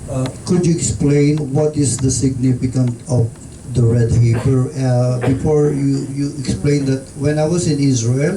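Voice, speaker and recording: Male group member, hesitant questioner, captured from a distance in a reverberant, noisy room. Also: mid-range voice. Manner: halting, with frequent fillers and restarts. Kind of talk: asking a question in a group discussion.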